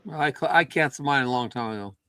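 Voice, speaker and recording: A man's voice: medium pitch, fast, pushed and bright, slight background noise. A man joining a casual livestream as a guest, grumbling in an offhand way.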